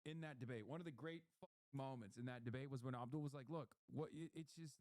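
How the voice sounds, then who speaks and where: A man's voice: mid-range voice, quick pace, crowd noise. A man from Michigan giving casual, profane live-stream commentary on a political debate, often mocking people with put-on quotes.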